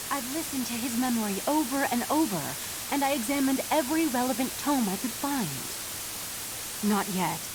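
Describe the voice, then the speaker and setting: Brusque female elf sage, over loud hiss. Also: fairly high pitch. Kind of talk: video game character acting. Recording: loud steady hiss of static.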